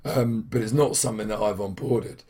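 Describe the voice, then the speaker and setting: A young man with a husky, hoarse voice, chatting casually in a weekly trading vlog, rambling with many fillers and restarts. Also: on the low side, brisk, dark tone. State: hoarse from shouting at football. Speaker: trading vlogger.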